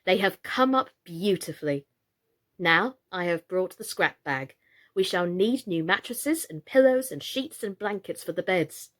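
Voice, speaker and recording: A woman's voice: fairly low pitch, lively intonation, a little slow, a bit bright. A woman voicing a kind, practical mother in a children's storybook.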